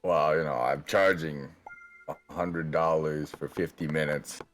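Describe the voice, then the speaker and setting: A man's voice: a bass voice, steady tone, a little slow, soft background music. A man joking around in casual voice chat, sometimes drawling out his phrases. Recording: clear background noise, clicks.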